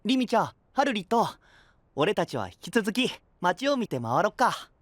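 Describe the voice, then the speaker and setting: A man playing an upbeat, caring young knight in an anime-style voice drama, with casual, slangy and expressive speech. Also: high voice, normal speed, dry recording. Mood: encouraging.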